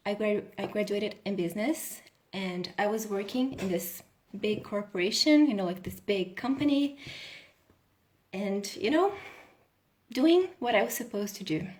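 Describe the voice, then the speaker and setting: A woman in her thirties from Brazil, a healing coach talking casually on a live video and laughing often. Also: mid-range voice. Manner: chatty, laughs often, restarts sentences.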